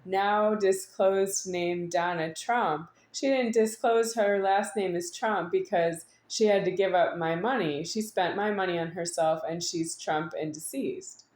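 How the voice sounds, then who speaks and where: A woman giving a rambling, unscripted monologue to an audience, halting at times with drawn-out words and insistent repetition. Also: fairly low pitch, a little mellow. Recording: echoey room.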